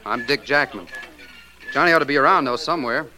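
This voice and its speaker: A man's voice: mid-range voice, fast talker, sharp tone. An actor playing a helpful young male store clerk in a 1940s radio drama, informal and chatty. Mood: eager, helpful. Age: young adult. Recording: noisy old radio recording.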